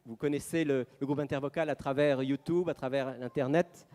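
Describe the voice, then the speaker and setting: A man from France introducing members of a vocal group to a church congregation, in a friendly, halting way with fillers. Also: mid-range voice, fairly even intonation, mellow. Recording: long church hall reverb, music behind.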